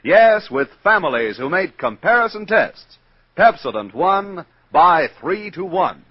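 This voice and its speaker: A man delivers an emphatic 1940s radio commercial. His husky voice swings widely in pitch. The recording is close and dry but dull with age. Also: very animated, measured pace, dry recording. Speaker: commercial announcer. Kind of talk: 1940s radio advertisement read.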